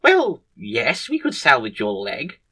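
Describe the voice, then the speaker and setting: A man's voice: mid-range voice, wildly swooping pitch, slow pace, bright, forceful tone, breathy edge, heavily acted. A man voicing a flustered, stubborn, eager female head surgeon in a comic story.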